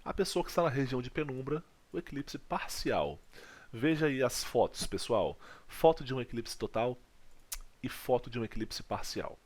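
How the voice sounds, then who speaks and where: A man's voice: medium pitch, normal speed. A man from Brazil teaching a physics video lesson, checking in with his students often. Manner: lively teacher, checks in with "legal?" and "tá?".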